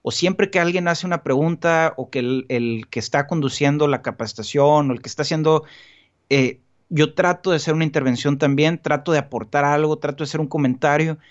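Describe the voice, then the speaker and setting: A man's voice: a little high, a little fast, dull audio. A man in casual podcast conversation as a guest, talking earnestly and rambling with frequent restarts and fillers. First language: Spanish.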